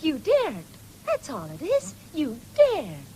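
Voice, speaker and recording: Very high-voiced, teasing country girl. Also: wildly swooping pitch, takes it slowly, exaggerated voice. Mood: playful. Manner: coaxing, playful. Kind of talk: radio drama acting. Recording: faintly hissy 1970s radio recording with clicks.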